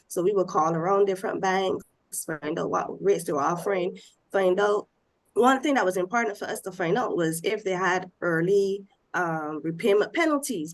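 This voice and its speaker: A woman from Barbados on a discussion panel, explaining step by step in a nasal voice because she has a cold. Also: mid-range voice.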